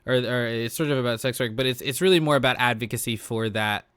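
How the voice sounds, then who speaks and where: Clear, smooth-voiced man chatting on a podcast. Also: medium pitch, fast, a bit bright, no echo. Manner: candid, informal, freely sweary. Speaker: podcast co-host. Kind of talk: casual podcast discussion.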